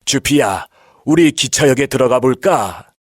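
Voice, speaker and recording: A man voicing a kindly old grandfather in a children's storybook, gentle and patient as he explains things. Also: mid-range voice, lively intonation, a little slow, no echo, clean studio sound. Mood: gently explaining.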